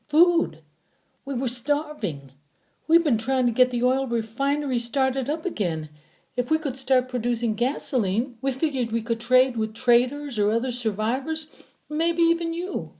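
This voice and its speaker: Audiobook captive role, acted by the narrator: frightened male scavenger, raised pitch, pleading and explaining anxiously, muted tone. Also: lively intonation, moderate pace, dark tone. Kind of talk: audiobook character acting.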